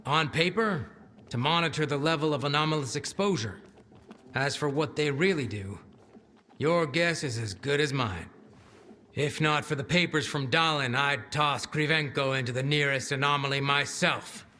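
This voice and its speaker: A man playing a gruff, blunt, foul-mouthed military commander in a video game cutscene. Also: a little high, normal speed, bright, forceful tone, a little hiss or hum. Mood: gruff, dismissive.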